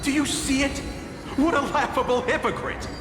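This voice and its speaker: Gloating, cruel zealot, echoing hall. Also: male voice, very high-pitched, fairly even intonation, normal speed. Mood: mocking contempt. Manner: mocking, theatrical, scornful. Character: gloating, cruel male zealot captor passing judgment. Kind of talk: English video game voice acting. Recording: echoey hall reverb under loud music.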